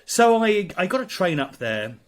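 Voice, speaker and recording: A middle-aged English man, a priest, telling a personal story in conversation, halting with many fillers and restarts. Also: high-pitched, big pitch swings. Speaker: priest, guest.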